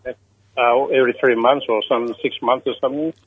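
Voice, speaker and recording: An older man from Fiji calling in to a radio show, speaking in a hesitant, halting way. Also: medium pitch, steady tone, narrow, tinny audio, a little hiss or hum. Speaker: caller. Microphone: telephone.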